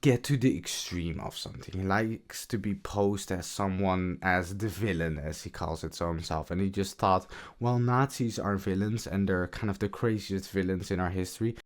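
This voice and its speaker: A young man with a raspy voice, tired after a late concert, talking quietly in a slangy, rambling vlog. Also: sits low, moderate pace. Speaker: vlogger. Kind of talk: casual late-night vlog commentary.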